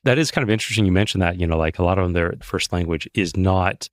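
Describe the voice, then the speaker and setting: A male podcast host with a low-pitched voice asks curious, easygoing questions and reacts often with quick remarks. The sound is dry and close to the mic. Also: dry recording. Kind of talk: casual interview.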